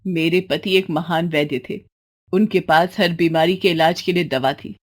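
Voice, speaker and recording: A woman's voice: fairly low pitch, normal speed, natural voice. A woman voicing a wronged, middle-aged village widow in a story, speaking in a pointed, emotional, dramatic way. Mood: pained, earnest. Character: wronged, bitter village widow and mother, defiant.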